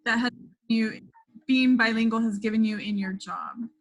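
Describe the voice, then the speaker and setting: A woman teacher interviewing a guest, asking questions slowly with encouraging short replies. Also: on the high side, fairly even intonation, rough recording. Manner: slow, encouraging, short affirmations.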